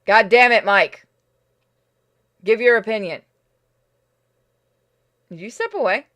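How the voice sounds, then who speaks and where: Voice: mid-range voice, slow, a bit bright. A streamer giving casual gameplay commentary, blunt and sweary, deliberating aloud over choices. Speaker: streamer playing a game.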